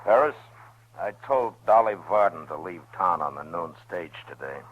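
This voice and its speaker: Terse, very deep-voiced western marshal. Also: male voice, animated, normal speed. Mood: stern, firm. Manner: terse, firm, commanding. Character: stern, unbending US marshal in a frontier town. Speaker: lead actor in a western radio drama. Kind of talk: 1950s western radio drama acting. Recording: dull old radio recording.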